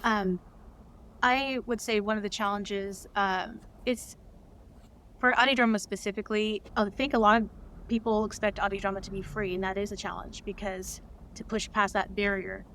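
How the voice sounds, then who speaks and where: Faint ambient noise, then female interview guest, medium pitch, casual and explanatory, unscripted. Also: fairly even intonation, normal speed, a bit bright. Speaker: interview guest, audio drama creator. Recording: clear background ambient noise.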